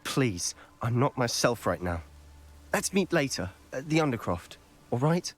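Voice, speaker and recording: A man playing a desperate, defiant teenage wizard boy in a video game, intense and halting delivery. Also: mid-range voice, very animated. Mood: shaken. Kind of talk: dramatic video game acting.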